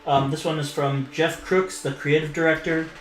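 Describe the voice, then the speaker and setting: A man's voice: fairly high pitch, fairly even intonation, normal speed. A man talking casually on a stream, hesitant and full of fillers and restarts. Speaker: lore streamer. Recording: echoey room with faint noise.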